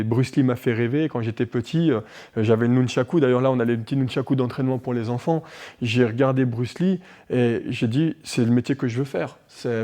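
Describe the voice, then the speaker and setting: A man in his forties, raised in Switzerland, talks about running a martial arts dojo in a local TV interview. His voice is mellow and dark at a middle pitch, and he speaks with animated energy, often stopping to restart a sentence around fillers. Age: 40s.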